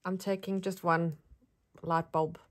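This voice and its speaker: A woman's voice: lowish voice, level tone, slow pace. A woman with a South African accent, chatting casually as she shows her craft supplies in a vlog. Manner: chatty, friendly, rambling with fillers. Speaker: craft vlogger. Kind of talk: casual show-and-tell.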